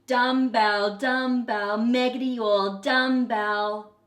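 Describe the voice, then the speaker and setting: A woman voicing a mean schoolboy bully in a children's picture book, taunting in a loud sing-song. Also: fairly high pitch, fairly even intonation, slow, echoey room, pitched above the performer's own voice. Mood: mocking.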